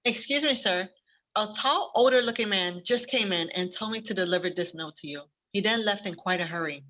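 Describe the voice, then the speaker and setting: A woman's voice: mid-range voice, brisk, fairly bright tone, lightly acted. A woman playing a friendly, helpful young librarian in a readers theater drama. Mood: polite. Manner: helpful, chatty. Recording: slightly dull conference-call audio.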